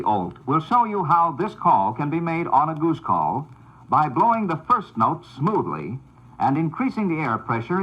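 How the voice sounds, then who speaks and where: A man giving scripted, formal instructional narration on an old 78rpm record, in a measured, announcer-like delivery. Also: a little high, sharp tone, lo-fi sound.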